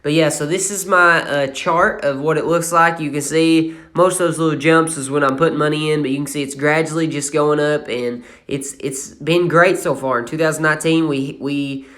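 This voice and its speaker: A young man in his twenties with an Appalachian accent talks casually over a screen recording of his investments. His voice sits a little higher than most men's, and he rambles with lots of fillers and restarts. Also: steady tone, normal speed, few pauses, fairly bright tone. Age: 20s. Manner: rambling and casual, many fillers and self-corrections. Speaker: channel host.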